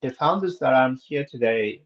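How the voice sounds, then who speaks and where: A man hosting an online event who politely and hesitantly asks the guest to pause so the attendees can introduce themselves. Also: medium pitch, expressive, muffled recording. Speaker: event host.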